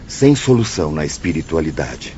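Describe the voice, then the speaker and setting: An actor with a Brazilian accent playing a grave, insistent spirit counsellor in a radio drama, formal and emphatic. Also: male voice, a bass voice, big pitch swings, moderate pace, warm tone. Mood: urgent. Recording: dull lo-fi audio, faint noise.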